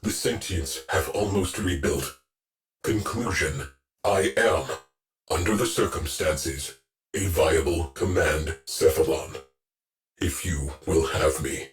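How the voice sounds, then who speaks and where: A man's voice: low-pitched, slow, soft background music, rough recording. A man voicing a grim, guilt-ridden military spaceship artificial intelligence in a video game, with clipped, terse, solemn delivery. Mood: solemn, resolute. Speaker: command AI of a combat spacecraft. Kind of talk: scripted video game voice lines.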